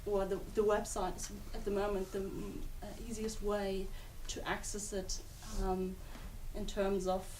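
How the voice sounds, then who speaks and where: A woman's voice: medium pitch, level tone, unhurried, few pauses, a little mellow. A young woman from Germany who studies abroad, answering audience questions after a talk, hesitant with many ums and restarts. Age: young adult. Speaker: conference speaker. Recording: distant mic with faint noise.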